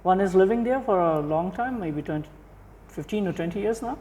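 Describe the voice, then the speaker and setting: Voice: medium pitch, expressive, brisk, dark tone, slight background noise. Podcast host asking questions, lots of okays, roomy echo. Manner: attentive, frequent okays and short affirmations. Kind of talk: conversational interview questions.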